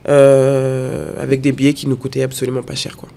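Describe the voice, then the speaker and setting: A woman's voice: extremely low pitch, completely flat delivery, normal speed, creaky, dark tone, a little hiss or hum. A woman in her thirties from France, talking about her life as an interview guest, with many fillers and repeated phrases. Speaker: guest, content creator. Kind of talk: personal interview conversation.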